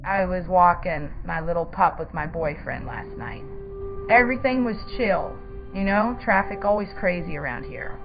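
An actress plays a young woman giving a witness account in analog horror style. She talks casually and sounds rattled. The recording is muffled, with clear music behind her. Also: lowish voice, expressive, moderate pace. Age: 20s. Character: shaken young female eyewitness, casual local. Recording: muffled lo-fi track under music.

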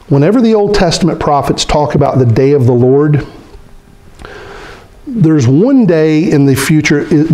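A middle-aged man preaches from a stand mic in a small church hall, his voice sitting a bit higher than most men's. He is animated and conversational, tossing questions to his listeners and sinking low to voice scripture figures. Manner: animated, gestures a lot, asks the congregation questions. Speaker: pastor. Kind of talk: sermon. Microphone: stand mic in front of speaker.

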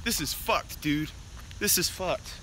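A man's voice: a little high, slow, background noise. A man playing a stubborn, aggrieved young guy who argues in repetitive, stammering, profane bursts in an amateur comedy skit. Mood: dismayed.

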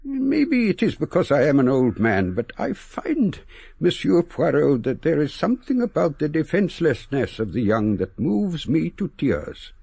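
An actor playing a sentimental, eloquent old English gentleman in a radio drama, reminiscing with wistful, theatrical, deliberate phrasing. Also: a little high, sing-song, vocal fry, husky, dry recording, heavily acted. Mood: mournful, eloquent. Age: older.

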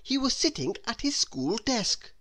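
A woman reading a children's storybook aloud in a deliberate, expressive way, drawing out the ends of phrases. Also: on the high side. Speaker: storybook reader. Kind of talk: children's read-aloud narration.

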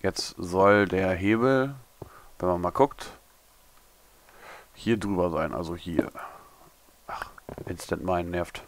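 A young man, a little hungover and hoarse, mumbling and thinking aloud as he builds in a game tutorial. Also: deep voice, slow, pauses often. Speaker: gaming tutorial creator. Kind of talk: casual commentary while building.

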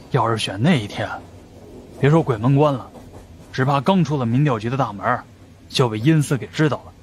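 A man voicing an unhurried, knowing veteran investigator who explains things in a measured way in an audiobook. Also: mid-range voice, slight background noise, pitched above the performer's own voice, natural voice.

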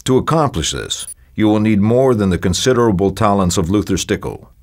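An actor playing an authoritative spy agency director, delivering a formal, measured, grave mission briefing in a video game. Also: male voice, lowish voice, lively intonation, darkish tone. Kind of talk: scripted mission briefing narration.

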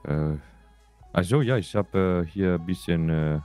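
A man chatting in character in an online roleplay game, speaking in a halting, muddled way over voice chat. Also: deep voice, a little mellow, smooth, soft background music.

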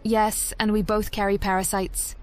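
A woman's voice: medium pitch, level tone, moderate pace, faint music behind, lightly acted. Casting: actress for composed female elven adventurer, mid-range voice, formal, cool-headed fantasy game delivery. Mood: calm, advising.

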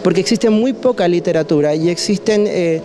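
A man's voice: high-pitched, background noise. Man explaining at length. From Chile. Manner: measured, long explanatory sentences. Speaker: interviewee, audiovisual communicator. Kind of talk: explanatory interview answer. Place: indoors by a stairwell with plants. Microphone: handheld reporter mics held up close.

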